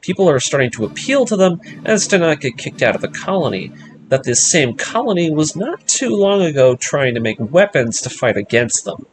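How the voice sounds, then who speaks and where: A man narrating a scripted story breakdown as an enthusiastic fan host, with expressive, emphatic delivery. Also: on the high side, moderate pace, slight background noise, poor audio. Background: background music.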